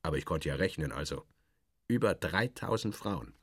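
A man's voice: very deep voice, expressive, normal speed, a bit bright, breathy edge, dry recording, studio quality. A man narrating a literary story in the first person, in a wry, clipped and theatrical manner.

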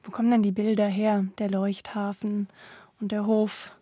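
A woman's voice: mid-range voice, level tone, moderate pace, warm tone, no echo, clean studio sound. A woman hosting a cultural interview and putting questions to her guests. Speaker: interviewer, host.

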